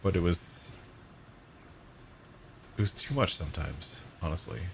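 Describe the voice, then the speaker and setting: A man with a hoarse voice, chatting casually and rambling while streaming a game. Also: low voice, a little slow, a little hiss or hum. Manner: rambling, self-correcting, relaxed. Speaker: gaming streamer. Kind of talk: casual live-stream chat while playing.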